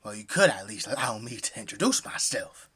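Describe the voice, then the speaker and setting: A man's voice: fairly low pitch, very animated, a little fast, pushed and bright, husky, full character voice. An actor playing a friendly, folksy middle-aged family man with an American Southern accent in an audio drama. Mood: jovial, welcoming. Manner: effusive, folksy, hospitable.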